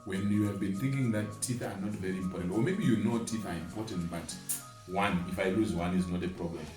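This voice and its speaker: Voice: low voice, a little fast, natural voice. An adult speaker playing a friendly, encouraging mentor who explains things informally and emphatically with homely examples, in a dramatized conversation. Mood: amused, instructive. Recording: echoey room, distant mic, faint music behind.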